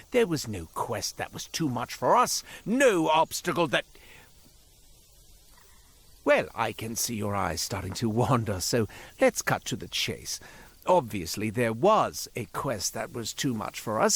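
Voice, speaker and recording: A man's voice: fairly high pitch, vocal fry, a bit bright, dry recording, heavily acted. An actor playing a chatty, self-important male merchant in a video game, wry, cowardly and theatrical, given to digressions as he tells his tale. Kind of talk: theatrical character monologue, storytelling.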